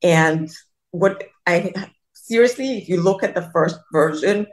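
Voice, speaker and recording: A woman's voice: fairly low pitch, unhurried. A middle-aged woman from Colombia, telling her story in a casual interview and rambling with many restarts and self-deprecating asides, over a video call. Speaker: guest.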